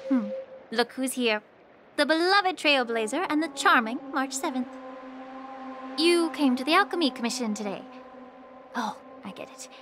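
A woman playing a shrewd, poised healer in an anime game, polished and gently commanding. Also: sits high, animated, normal speed, pauses often, music in the background. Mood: playful, knowing.

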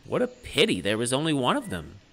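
A man voicing a kindly, musing father spider in a puppet story, speaking in a measured way. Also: mid-range voice, wildly swooping pitch, vocal fry, sharp tone, pitched above the performer's own voice, full character voice, low-quality recording.

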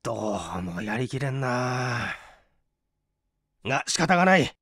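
A man's voice: mid-range voice, animated, slow, a bit bright, husky, no room sound, clean studio sound, voice pushed down, exaggerated voice. A man voicing a hungry, penniless young man, weary but courteous, coaxing and grumbling politely in a dramatised story reading. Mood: resigned, grumbling.

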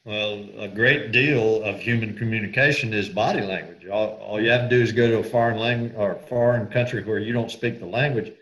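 A man's voice: on the low side, a little fast, hardly any pauses, fairly bright tone, rough recording. A man with a Southern accent co-hosting a casual chat, telling folksy anecdotes in a dry way. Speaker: co-host.

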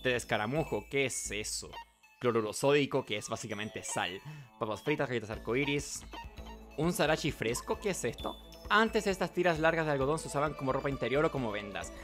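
A man's voice: medium pitch, lively intonation, a little fast, fairly bright tone. A man streaming a video game, reading narration aloud and adding casual commentary. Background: game music.

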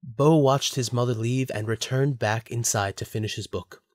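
A man's voice: mid-range voice, moderate pace, a little mellow, no room sound, clean studio sound. A man narrating a scripted story for an audio drama.

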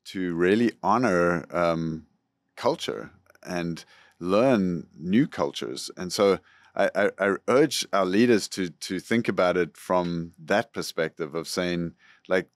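A middle-aged man, a school superintendent, giving earnest, measured interview answers into a close studio boom mic. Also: no echo. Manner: earnest, measured, repeats words when starting. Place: podcast studio room.

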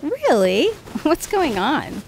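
Casting: kindly, wry mother female actor, higher-pitched, expressive, family audio drama. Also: extremely slow, gravelly, a little hiss or hum, full character voice, low-quality recording. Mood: wry, warm.

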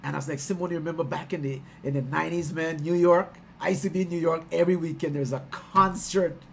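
A middle-aged man from Guyana, a musician talking casually on a video call, warm and chatty, laughing often and getting excited as he tells stories. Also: fairly high pitch, fast, gravelly, soft background music. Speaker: musician hosting his album release stream. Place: small room at home. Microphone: headset on a video call.

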